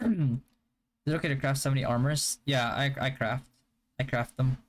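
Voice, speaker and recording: A young man from the Philippines whose first language is Hiligaynon, chatting casually on a live stream and answering viewer questions in a relaxed, stop-start way. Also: mid-range voice, normal speed. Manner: relaxed, stop-start, with frequent fillers and restarts. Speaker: game streamer.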